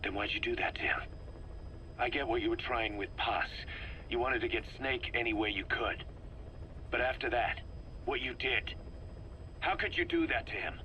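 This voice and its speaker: A man's voice: medium pitch, normal speed, pauses often, pushed and bright, highs cut off, natural voice. A man playing a bitter, suspicious soldier in a video game, confronting someone with accusations. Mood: bitter, accusing. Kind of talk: dramatic video game voice acting. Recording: faint noise, recorded tape audio.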